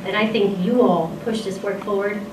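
A woman's voice: mid-range voice, fairly even intonation, moderate pace. A woman in a seminar audience mixing Spanish and English, firmly stating her disagreement. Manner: switches between Spanish and English, firm disagreement. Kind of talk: bilingual audience comment in discussion. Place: seminar room, indoors. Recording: distant, echoey room with noise.

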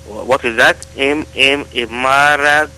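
A man's voice: mid-range voice, a little slow, pushed and bright, smooth. A man on a phone call speaking non-native English, hesitant and often asking to hear things again. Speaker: prank call recipient. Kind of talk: phone conversation.

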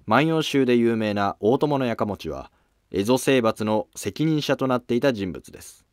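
A man's voice: mid-range voice, expressive, normal speed, no echo. A man reading scripted narration for a history drama as its narrator.

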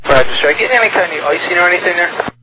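A man working as an air traffic approach controller, giving brisk, clipped instructions with fillers over a noisy, muffled radio transmission. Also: high-pitched, music in the background.

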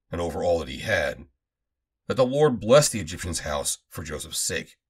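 Deep, husky man reading scripture. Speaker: Bible reader. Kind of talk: scripted scripture reading, solemn archaic narration.

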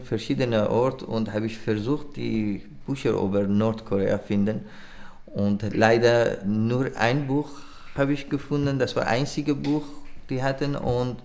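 Slow, low-voiced man with a Persian accent. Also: creaky, darkish tone. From Iran. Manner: halting non-native speech with simple, broken grammar. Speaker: illustrator and animation artist. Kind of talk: informal interview explaining own work. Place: indoor art studio at a desk.

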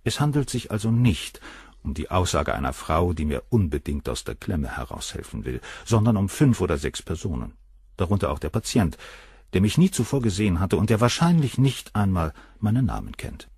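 A man's voice: deep voice, big pitch swings, fairly quick, a little mellow, husky, no room sound, clean studio sound, full character voice. A man playing a professor and doctor in a radio play, defending himself with precise arguments. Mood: defensive. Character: defensive middle-aged male professor.

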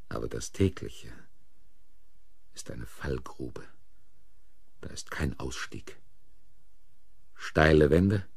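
A deep, heavily rasping man in a radio thriller. He is agitated and troubled, and his delivery swings widely between brooding and urgency. The sound is close and dry. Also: a little mellow, no echo, exaggerated voice. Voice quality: husky, raspy. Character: agitated male narrator drawn into covering up a killing. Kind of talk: German radio play acting, first-person narration and dialogue.

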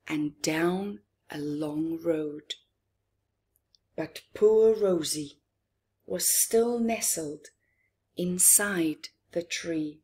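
A middle-aged woman reading a story aloud to young children, expressively, with dramatic pauses and chatty asides. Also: fairly low pitch, dark tone, breathy edge. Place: living room, indoors.

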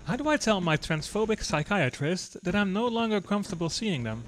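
A high-voiced man reads out scripted questions with lively, swinging intonation. Faint music plays behind him. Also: brisk. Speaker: reader of viewer questions. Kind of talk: scripted reading of short questions. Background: music under the voice.

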